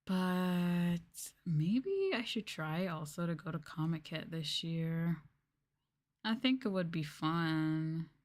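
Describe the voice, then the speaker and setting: A woman streamer chatting casually in a soft-spoken ASMR style, rambling and swearing as she goes. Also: on the low side, slow. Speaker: VTuber streamer.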